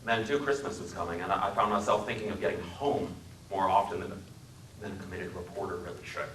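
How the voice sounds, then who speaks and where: Bright-voiced man reading aloud in an echoey room. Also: low-pitched, fairly even intonation, far from the mic, a little hiss or hum. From Wisconsin, USA. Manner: measured, dryly wry storytelling. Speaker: author giving a dramatic reading. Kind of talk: dramatic literary reading. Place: public library room.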